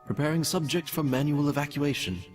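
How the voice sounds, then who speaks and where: A man's voice: fairly high pitch, brisk, lightly acted. A man voicing a cold, detached mad scientist who pompously dictates cruel experiment notes in a fan-made audio drama. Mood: clinical, detached. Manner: clinical, detached, pompous, menacingly polite. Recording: distant voice with music behind.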